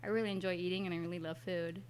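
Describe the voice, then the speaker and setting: A woman's voice: on the low side, fairly even intonation, racing, a little mellow, slight background noise. A woman with a Californian American accent, chatting casually and telling personal stories, often acting out bits of dialogue. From San Francisco Bay Area, United States. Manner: chatty, quotes dialogue as she tells stories. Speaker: interview guest, kitchen manager. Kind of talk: casual conversational storytelling.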